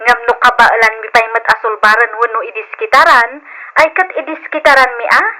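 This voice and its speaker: A woman's voice: a little high, a little fast, sharp tone, poor audio. A woman playing a dutiful, considerate young provincial sister in an old Philippine radio drama. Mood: earnest protesting.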